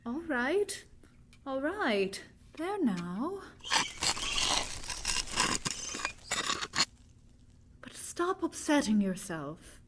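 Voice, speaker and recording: Woman acting a high-voiced, earnest, frightened young woman. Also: sing-song, heavy background noise, heavily acted. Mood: worried, soothing. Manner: dramatic, deliberate, anguished. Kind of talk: vintage radio drama acting.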